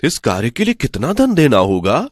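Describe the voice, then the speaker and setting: A man voicing a gullible, greedy village priest in an animated folk tale, excitable and full of credulous questions. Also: mid-range voice, moderate pace, slightly rough, no room sound, studio quality. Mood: amazed, credulous.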